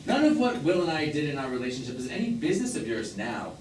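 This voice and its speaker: A man's voice: on the high side, big pitch swings, fast, a little mellow. Man acting a defensive middle-aged boyfriend, swooping intonation, terse, stage drama, distant noisy recording. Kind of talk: one-act stage play acting. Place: small theatre, live performance. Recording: roomy, noisy theatre recording from afar.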